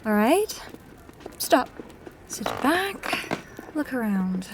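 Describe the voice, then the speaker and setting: An actress playing a hesitant but quietly stubborn young female newcomer in a fantasy audio drama, speaking in short, curious replies. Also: on the high side, very animated, very slow, noisy background, full character voice.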